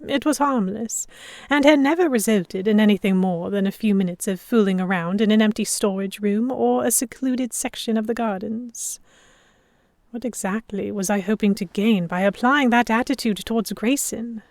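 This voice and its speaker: A woman's voice: medium pitch, moderate pace, a little mellow, no room sound, clean studio sound, close to an everyday voice. A woman playing a defiant, sheltered young lady held by pirates, narrating an audio drama in first person with articulate, literary phrasing. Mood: reflective. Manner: articulate, literary, reflective storytelling.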